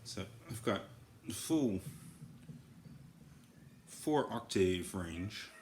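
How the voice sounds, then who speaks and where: Low-voiced man in an echoey room. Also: expressive, drawn-out words, background noise. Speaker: guitarist demonstrating effects gear. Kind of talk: casual gear demo, explaining as they go. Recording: echoey room, iPad recording. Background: processed guitar effects sounds.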